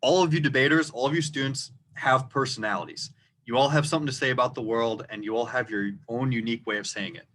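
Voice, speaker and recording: Loud, deliberate man with a rural Minnesota accent. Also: mid-range voice. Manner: speaks loudly and deliberately, word by word. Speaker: debate coach. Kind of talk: instructional video talk.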